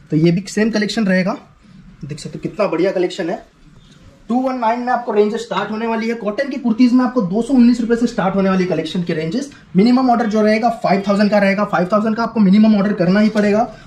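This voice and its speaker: A man's voice: high-pitched, darkish tone, a little hiss or hum. A man giving a casual, repetitive sales showcase as a wholesale clothing seller, mixing in English words. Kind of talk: casual product showcase to camera. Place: clothing showroom, indoors.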